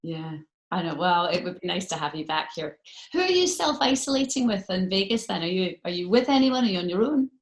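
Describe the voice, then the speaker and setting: A woman's voice: fairly low pitch, big pitch swings, fairly quick. A British woman hosting a casual remote interview in a chatty, gushing manner. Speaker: radio interviewer. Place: remote video call in London.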